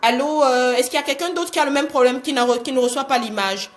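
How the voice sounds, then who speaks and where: High-pitched woman giving a motivational talk. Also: fast talker, faint music behind. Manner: animated, exhortative, repeats phrases for emphasis, rhetorical questions. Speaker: motivational coach hosting a livestream. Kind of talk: motivational live talk to online viewers.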